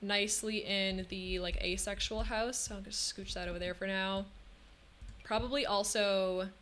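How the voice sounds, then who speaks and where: A young woman streamer talks her way through a build, chatty and relaxed, filling pauses with hmms and ums. Her voice sits in a middle register, and her throat feels a little scratchy. A faint background hiss runs under her talk. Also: mid-range voice. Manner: chatty, thinking aloud with frequent hmms and ums. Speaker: game streamer. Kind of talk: casual live-stream commentary while building in a game.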